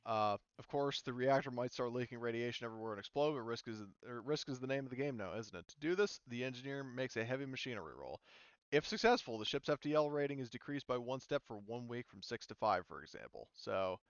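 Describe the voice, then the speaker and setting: Smooth, dry-miked man running a game. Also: medium pitch, no echo, dull audio. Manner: halting, thinking aloud while checking rules. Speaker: game master. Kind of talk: casual narration of a tabletop roleplaying game.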